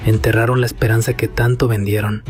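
Male narrator, flat grave accusing read, over loud music. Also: on the low side, a little fast. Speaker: political ad narrator. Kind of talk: scripted dramatic ad narration.

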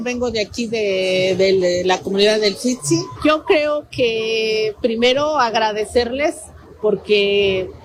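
Mexican woman merchant, halting speech with fillers, field recording at a community event with clear background noise. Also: a little high. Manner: hesitant, many fillers and restarts, grateful. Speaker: interviewee, local merchant. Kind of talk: spontaneous interview statement.

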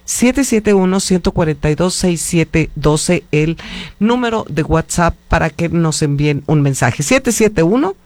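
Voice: mid-range voice, animated, a little slow, a little mellow. A radio host with a Mexican accent, chatting loosely on air with many fillers and restarts. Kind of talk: live radio interview. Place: radio studio booth.

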